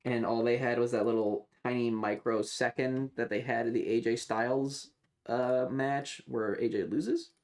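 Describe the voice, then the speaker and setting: A man streaming casual commentary, rambling and halting as he goes, with some swearing. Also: medium pitch, fairly even intonation, a little mellow.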